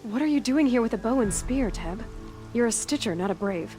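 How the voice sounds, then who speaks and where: An actress playing a blunt, determined young outcast huntress in a video game, speaking in a direct, terse way. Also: female voice, fairly high pitch, expressive, brisk, warm tone, faint music behind, close to an everyday voice. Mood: firm, questioning. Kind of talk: video game cutscene acting.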